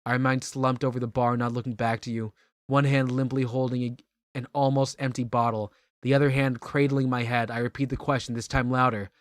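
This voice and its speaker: A man's voice: medium pitch, flat delivery, fairly quick, no echo, clean studio sound, pitched below the performer's own voice, natural voice. A man voicing a drunk, menacing brawler at a bar, brooding and ominous, in a mock-dramatic comedic reading. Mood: brooding menace. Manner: slurred, brooding, ominous storytelling in first person.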